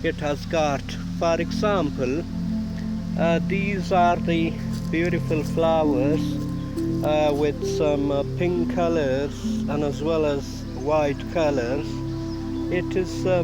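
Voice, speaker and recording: A man from Afghanistan filming a walking tour of a park on his phone, talking hesitantly with many fillers. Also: on the high side. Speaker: vlogger. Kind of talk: casual walking tour vlog. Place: outdoor park. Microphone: phone held while walking.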